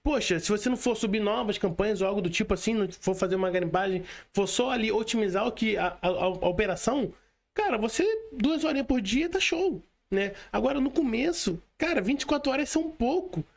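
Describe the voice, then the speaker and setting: Chatty Brazilian podcast host. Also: male voice, high-pitched, lively intonation, quick pace, creaky. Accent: Brazilian Portuguese. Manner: chatty, filler-heavy, says "cara" and "né" often. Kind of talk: casual podcast interview.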